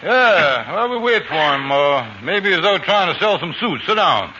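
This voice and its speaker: A man's voice: a little high, expressive, moderate pace, close to an everyday voice. An actor playing a scheming con man in a 1940s radio comedy, coaxing and persuasive, always talking someone into a deal. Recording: lo-fi old radio recording with faint hiss.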